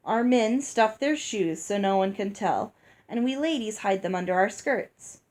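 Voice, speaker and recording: A woman reading aloud and voicing a gruff older fairy woman who talks bluntly and scolds. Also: mid-range voice, unhurried, a little mellow, natural voice. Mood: brusque. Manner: brusque, scolding. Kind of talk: read-aloud storybook dialogue.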